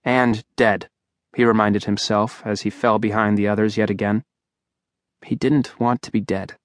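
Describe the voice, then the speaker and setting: A man's voice: on the low side, little pitch movement, normal speed, dry recording. A man reading an audiobook aloud in a dry, wry storytelling manner at a studio microphone. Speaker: audiobook narrator. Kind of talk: scripted narration.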